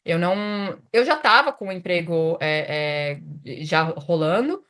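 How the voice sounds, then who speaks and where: Chatty low-voiced Brazilian woman. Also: animated, measured pace, a bit bright. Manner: chatty, many restarts and fillers. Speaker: guest, immigration consultant. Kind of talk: casual interview conversation.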